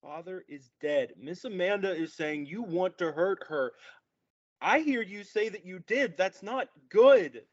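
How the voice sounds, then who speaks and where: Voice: medium pitch, lively intonation. A performer reading a sheltered young son questioning his mother in a table read. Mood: shaken. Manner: halting, questioning. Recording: dull lo-fi recording.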